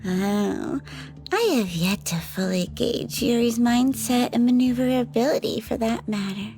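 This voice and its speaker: An actress playing a composed, cunning woman who speaks in a measured, formal way in an audio roleplay. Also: on the high side, steady tone, mellow, faint music behind. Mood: calm, scheming. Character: composed, cunning woman, devoted partner and schemer. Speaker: lead character in an audio drama. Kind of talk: ASMR audio roleplay acting.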